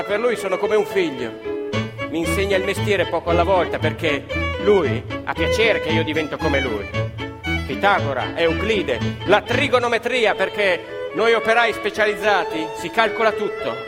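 An actor playing a proud, eager fourteen-year-old factory apprentice in a theatrical radio monologue. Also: male voice, high voice, lightly acted. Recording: live violin and music behind.

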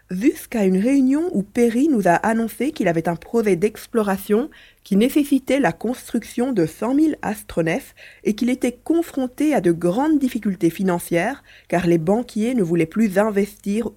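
A woman's voice: medium pitch, mellow, natural voice. A woman voicing a mischievous male mouse-beaver alien, a chatty, teasing adventurer in a French audio drama.

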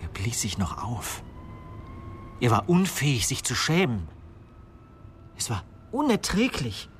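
An actor playing an obsessed, bitter idealist confessing to murder in a radio play, with an intense, fervent delivery. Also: male voice, medium pitch, wildly swooping pitch, slow pace, a little hiss or hum. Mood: bitter, strained. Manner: intense, fervent, swinging from hushed to raised voice. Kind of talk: 1990s German radio play acting.

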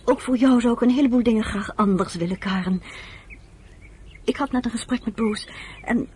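A woman's voice: on the high side, moderate pace, warm tone, close to an everyday voice. An actress playing an earnest woman who speaks with tender, pleading persuasion in a radio drama. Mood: serious, resolute. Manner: pleading, affectionate, emphatic. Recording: noisy old radio play recording.

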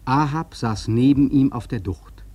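Casting: seafaring storyteller for radio drama, soft mellow husky tone, intense and evocative delivery. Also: male voice, medium pitch, measured pace, no echo. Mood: urgent. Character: awestruck young whaleman sailor recalling the hunt. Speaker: narrator. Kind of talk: dramatic literary radio-play narration.

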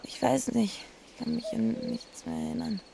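A dazed, fragile young woman who still insists in a radio drama. Her voice is mid-pitched and creaks heavily, coming out haltingly. Faint insect noise surrounds her. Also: wildly swooping pitch, heavily acted. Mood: frail, shy. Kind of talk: naturalistic German radio drama acting.

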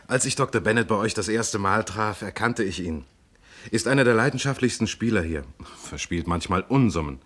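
Low-voiced, commanding police inspector. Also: male voice, animated, fairly bright tone. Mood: calm explaining. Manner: formal, measured, authoritative. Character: shrewd, commanding male police inspector with controlled courtesy. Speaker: actor in a crime radio play. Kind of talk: mid-century German radio crime drama acting.

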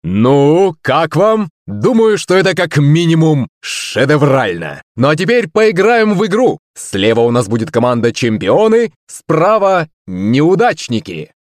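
A man voicing a bombastic, excitable stage show host in an audiobook, showy and proclaiming. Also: a little high, a little slow, no room sound, studio quality, voice pushed up. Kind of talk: audiobook character voice.

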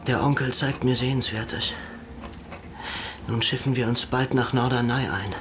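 An actor playing a frail young student in a radio play, softly and deliberately reading out a postcard home. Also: male voice, medium pitch, steady tone, background noise, close to an everyday voice. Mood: subdued, dry.

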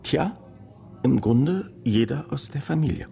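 A man's voice: mid-range voice, lively intonation, measured pace, vocal fry, music in the background. A man playing a matter-of-fact police inspector in a radio play, dry and factual in his delivery.

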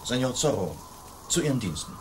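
A man's voice: mid-range voice, sing-song, brisk, noisy background, natural voice. An actor playing a suave, self-assured nobleman in a radio play, speaking with polite composure. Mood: cool, challenging. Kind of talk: German radio play acting.

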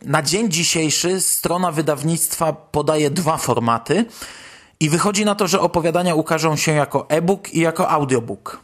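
Male podcast host, slightly high register, conversational news commentary. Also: moderate pace.

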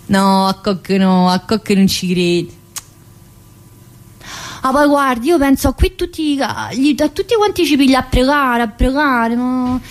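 Casting: actress for a troubled female psychiatric prisoner in a radio monologue, Neapolitan accent, husky voice, confiding and rambling. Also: a little high, darkish tone, heavily acted, rough recording. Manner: colloquial, confiding, rambling, with abrupt pauses and interjections. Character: troubled, talkative woman inmate of a psychiatric prison.

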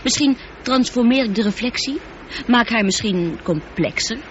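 An actress the playing a highly intelligent, humanlike female spaceship computer in a radio play, speaking in measured, articulate sentences. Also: natural voice. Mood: calm, analytical. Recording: dull noisy old radio play recording.

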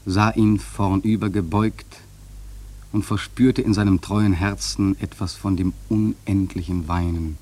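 A man acting a grave sailor who narrates a radio play in a measured, solemn literary style with dramatic swells and a husky voice. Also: sits low, steady tone, a little mellow. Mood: solemn, ominous. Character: grave male sailor narrating a haunted whaling voyage.

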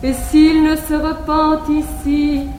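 A ghostly female spectre in a classical stage play, high and slow, intoning a solemn warning of doom. Her chant hangs in long theatre reverb over faint music. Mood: ominous. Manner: chanting, solemn, monotone incantation. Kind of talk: classical French theatre declamation. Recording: reverberant 1950s live theatre recording, faint noise.

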